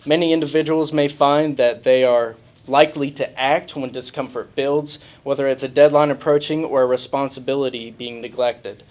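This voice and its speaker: A man giving a college presentation, mixing his prepared points with relaxed, casual asides. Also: mid-range voice. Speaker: student presenter. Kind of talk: class presentation. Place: college event room.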